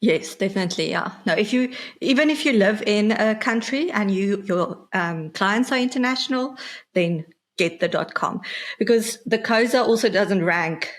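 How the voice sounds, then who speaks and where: A South African woman in her thirties with an Afrikaans accent, a podcast guest chatting casually over a video call, hesitant with many fillers and soft laughs. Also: mid-range voice, lively intonation, moderate pace. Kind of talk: casual interview chat. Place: home office, indoors.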